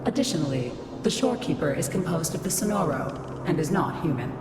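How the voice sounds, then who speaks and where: A woman's voice: low voice, expressive, a little fast. A woman voicing a cold computer system in a game, with clipped, emotionless, formal delivery. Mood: cold, impassive. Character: cold female computer system guarding human civilization. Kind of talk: scripted game voice acting. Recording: long echoing reverb, music behind.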